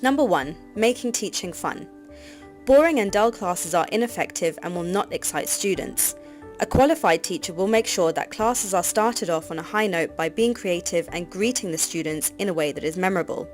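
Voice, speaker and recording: A woman giving scripted narration for a teacher training course, reading in an even, measured way. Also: lowish voice. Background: background music.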